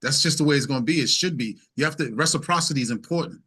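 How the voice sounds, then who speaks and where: A man's voice: fairly high pitch, very fast, sharp tone, slightly rough. A man speaking as a singer-songwriter guest in a casual interview, emphatic and repeating phrases as he builds his points.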